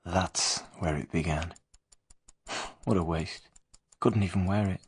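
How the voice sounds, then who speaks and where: An actor playing a haunted young killer, confessing in a halting, brooding radio drama narration. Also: male voice, low-pitched, husky, no room sound, heavily acted. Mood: brooding, cold.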